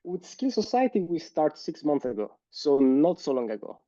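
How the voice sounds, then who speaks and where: A man with an Italian accent answering interview questions in a thoughtful way, pausing often with "uh". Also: on the high side, big pitch swings, mellow, dry recording. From Italy. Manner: thoughtful, hesitant with frequent "uh" pauses. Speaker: guest. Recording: slightly dull lo-fi sound.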